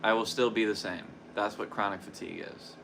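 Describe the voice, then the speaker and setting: Hoarse, tired man speaking slowly. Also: fairly low pitch, steady tone, over music. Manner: soft-spoken, halting, with long pauses and repetitions. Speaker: guitarist livestreaming practice. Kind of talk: slow reflective personal talk.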